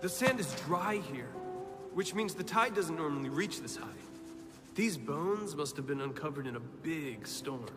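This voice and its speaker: An actor playing a calm, knowledgeable, kindly mentor to children in an animated film, patient and measured. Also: male voice, fairly high pitch, big-room sound, music in the background, close to an everyday voice. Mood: thoughtful.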